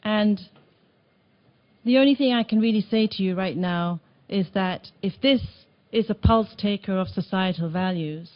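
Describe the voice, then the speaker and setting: A woman from Tanzania presenting a report at a meeting, speaking earnestly with some halting restarts, in a meeting room. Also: mid-range voice, highs cut off. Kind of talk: conference presentation.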